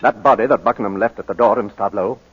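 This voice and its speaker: An actor playing a commanding gentleman lawyer, urgent and clipped, in a 1940s radio drama. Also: on the low side, fast talker, husky. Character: urgent, commanding English gentleman lawyer advising his client. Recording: dull, reverberant 1940s radio recording.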